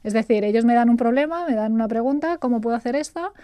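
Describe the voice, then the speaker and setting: A woman from Spain, a guest talking about her consulting work in a relaxed interview, chatty and laughing often, repeating words as she searches for them. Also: fairly high pitch, fast talker, no room sound. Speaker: guest, artificial intelligence consultant.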